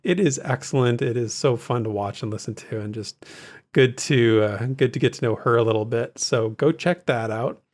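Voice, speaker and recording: Male podcast host, medium pitch, easygoing and chatty, casual community recap delivery. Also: normal speed, a little mellow. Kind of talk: casual gaming news recap, reading listener posts aloud.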